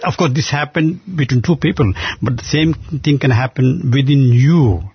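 An older man with an Indian accent, telling stories in an earnest, halting way with many restarts. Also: mid-range voice, slightly rough, no room sound. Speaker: guest. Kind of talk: conversational storytelling. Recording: dull muffled lo-fi sound.

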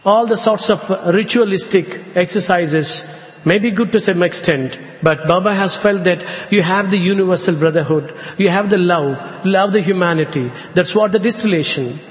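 A man from India with an Indian English accent, a native Telugu speaker, giving a measured devotional talk at a spiritual gathering. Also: high voice. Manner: slow, measured, drawn-out phrases. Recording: echoey hall, dull lo-fi sound.

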